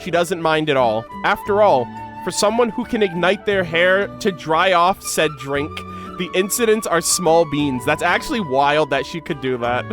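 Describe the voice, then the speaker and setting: A man's voice: on the high side, moderate pace, music in the background. A man doing casual reaction commentary and reading game lore aloud, as an enthusiastic fan who uses slang. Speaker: gaming reaction video creator.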